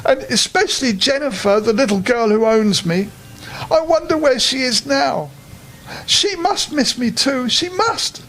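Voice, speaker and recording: A man plays a little storybook teddy bear with a high voice that rises and falls freely. He is polite and earnest, a soft toy who longs for his family. Some background noise sits behind the voice. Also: lively intonation, heavily acted. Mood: sad, homesick. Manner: polite, earnest, halting with repeated words. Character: small polite homesick male teddy bear. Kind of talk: children's storybook character voice.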